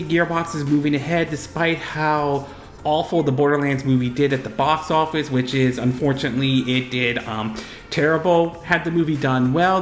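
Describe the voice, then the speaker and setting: Higher-voiced man with a cold, roomy sound. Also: a bit bright. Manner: rambling, halting, self-correcting delivery with repeated verbal tags. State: fighting off a cold. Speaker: YouTube gaming news host. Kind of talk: unscripted gaming news commentary.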